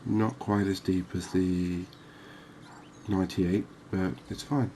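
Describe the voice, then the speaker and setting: A man giving unhurried, murmured ASMR commentary as he hosts a fishing game series. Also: low voice, steady tone, warm tone, slight background noise. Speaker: host of a gaming series.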